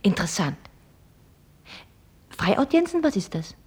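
An actress playing a proud, mockingly polite young American heiress in a German radio play, speaking in a formal, ironic and probing way. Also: female voice, medium pitch, very animated, measured pace, darkish tone, breathy edge, heavily acted. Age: young adult.

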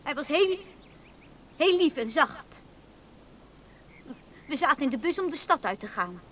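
An actress in a radio play, playing a dreamy, naive, stubborn woman who speaks of her gentle lover. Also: high-pitched, very animated, unhurried. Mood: wistful. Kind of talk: Dutch radio play acting. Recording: noisy old radio drama recording.

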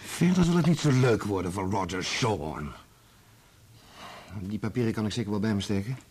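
An excitable, loyal son in a radio drama. His low voice is a little husky and swings widely in pitch as he talks. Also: normal speed. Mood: grim, resolved. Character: excitable, loyal son, stunned by a friend's betrayal. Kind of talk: Dutch radio play acting.

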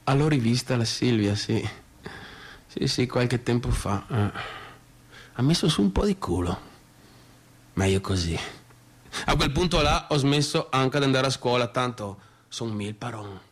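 A man's voice: medium pitch, animated, unhurried, pauses often. An actor playing a cocky, crude young man with a northeastern Italian accent, telling stories in a slangy, swaggering theatrical monologue. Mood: boastful, casual. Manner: swaggering, slangy, repeats phrases for emphasis. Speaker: stage actor. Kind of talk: theatrical monologue, colloquial storytelling.

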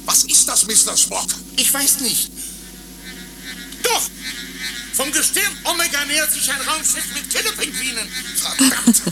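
An actor playing a commanding starship captain in a parody scene of a radio play. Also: male voice, very high-pitched, expressive, unhurried, pauses often, exaggerated voice, poor audio. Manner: theatrical, commanding. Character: space-captain in a crossword daydream. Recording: radio play over loud music.

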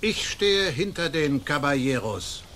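A man's voice: fairly high pitch, sing-song, measured pace, fairly bright tone, slight background noise. An actor playing a stern, honourable and influential nobleman, emphatic and declamatory in a German radio drama. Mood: resolute.

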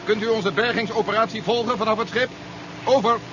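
An actor playing a curt, domineering general in a 1970s science fiction radio drama, brisk and impatient in his orders. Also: high voice, steady tone, natural voice. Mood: commanding. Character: curt, domineering male general commanding a recovery operation. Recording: dull, noisy 1970s radio recording.

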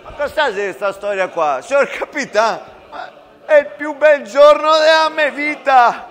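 A man's voice: extremely high pitch, lively intonation, measured pace, big-room sound, some chatter behind, pitched above the performer's own voice, exaggerated voice. A man playing a boisterous, good-natured First World War soldier with a Venetian accent in a theatrical, exclamatory monologue. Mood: wild excitement. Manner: exuberant, exclamatory, loud.

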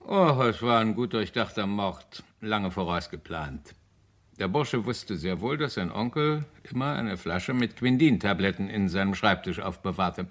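Deep-voiced, emphatic police inspector. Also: male voice, animated, a bit bright. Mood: assured, explanatory. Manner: emphatic, deliberate, explanatory. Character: confident, methodical British police inspector explaining a solved murder. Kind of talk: German radio crime drama acting.

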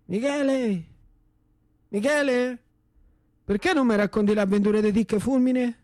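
A haunted frantic roman civilian, voice way up high, repeats stuff obsessively, radio play. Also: male voice, measured pace, a little mellow, dry recording, studio quality. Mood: excited, pleading. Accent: Roman Italian. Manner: repetitive, frantic, strained high delivery. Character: distraught, obsessive civilian haunted by war dead.

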